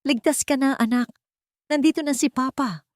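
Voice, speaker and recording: A woman's voice: high-pitched, normal speed, no echo, studio quality, pitched above the performer's own voice, close to an everyday voice. A woman as an earnest, protective father. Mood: tender. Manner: calm, earnest, pleading. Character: protective billionaire father, resolute and tender.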